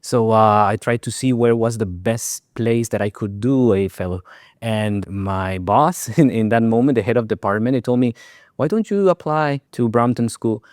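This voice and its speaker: A man's voice: mid-range voice, normal speed, darkish tone, dry recording, studio quality. A man from Guatemala speaking English as a second language after Spanish, a doctor telling his career story in an interview, with many fillers and restarts. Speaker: allergist guest. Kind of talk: conversational interview.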